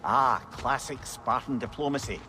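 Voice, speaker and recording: A man's voice: medium pitch, normal speed, noisy background, natural voice. A man playing a witty, talkative older sage companion in a video game, with a Scottish accent and an articulate, wry manner. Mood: gently teasing. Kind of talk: dramatic video game acting.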